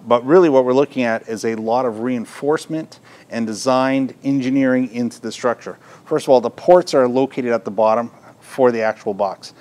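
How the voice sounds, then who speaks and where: A man's voice: mid-range voice, darkish tone. A middle-aged man presenting a product in an informal, unscripted, explanatory way. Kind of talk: unscripted product demonstration talk. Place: indoor audio gear shop, at a counter.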